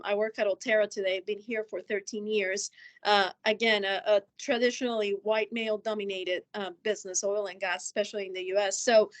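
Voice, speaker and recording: Female executive panelist, Colombian accent, Spanish native, medium pitch, off-the-cuff personal storytelling.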